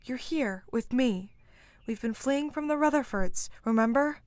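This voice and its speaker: A woman's voice: sits high, a little slow, a little mellow, dry recording. A woman playing a determined, protective young fugitive in a fantasy audio drama, speaking bluntly and firmly. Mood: reassuring.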